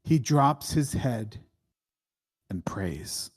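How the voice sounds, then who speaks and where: A man reading screenplay stage directions as dramatic narration, in a deliberate, suspenseful way. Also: mid-range voice, very animated, slightly rough.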